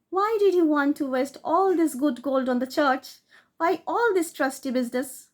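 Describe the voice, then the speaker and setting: A woman reading a classic short story aloud, in a steady storytelling manner that rises for emphasis. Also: a little high. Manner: steady storytelling read with rising emphasis on key phrases. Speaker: audiobook reader.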